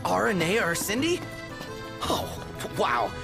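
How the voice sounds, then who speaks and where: An actor playing an excitable young male sidekick in a video game, eager and informal. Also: sits high, slow, vocal fry, music in the background, heavily acted. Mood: smitten, flustered. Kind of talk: video game cutscene acting.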